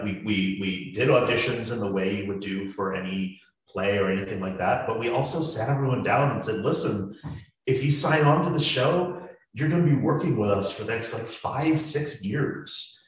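Earnest low-voiced man in echoey room. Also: moderate pace. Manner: earnest, thoughtful, with many restarts. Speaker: podcast creator. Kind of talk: panel discussion.

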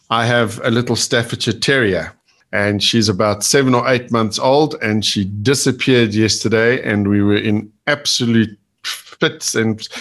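A man's voice: medium pitch, a bit bright. A man hosting an interview show, talking in a chatty, upbeat way with fillers and restarts. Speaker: podcast host. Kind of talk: casual interview chat.

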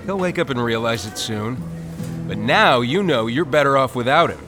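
Smooth-talking male stranger for podcast drama, male actor. Flirtatious, manipulative, lively pitch movement, casual coaxing delivery. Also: normal speed, music in the background, natural voice. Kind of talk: naturalistic audio drama dialogue.

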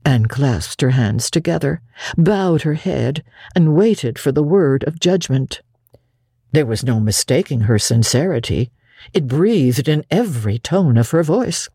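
A woman narrating a classic novel as an expressive, dramatic audiobook reader. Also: sits low, unhurried, husky, no room sound, studio quality.

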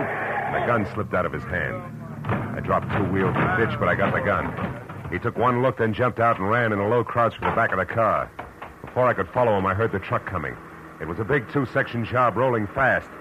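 An actor playing a hard-boiled, world-weary private detective in a 1940s radio drama, terse, wry and tough-talking. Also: male voice, fairly low pitch, highs cut off, background noise, close to an everyday voice. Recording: vintage radio recording, music underneath.

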